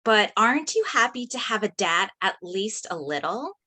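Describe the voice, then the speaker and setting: A woman's voice: medium pitch, big pitch swings, normal speed, sharp tone. A woman at a script table read playing an earnest, emotional teenage best friend.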